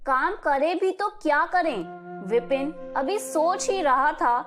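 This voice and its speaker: A woman narrates a moral story in a high voice. Her scripted delivery is slow and measured, with music clearly playing behind her. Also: lively intonation, fairly bright tone.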